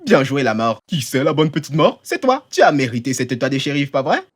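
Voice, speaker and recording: A woman voicing a boastful but cowardly young male outlaw in an animated fan dub, talking in a cocky, rambling, easily flustered way. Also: a bass voice, a little fast, full character voice. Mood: smug bravado.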